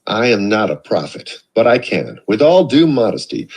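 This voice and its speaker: A man reading a self-help book aloud in a measured, expressive way. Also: lowish voice, slightly rough. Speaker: audiobook reader. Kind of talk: read-aloud narration.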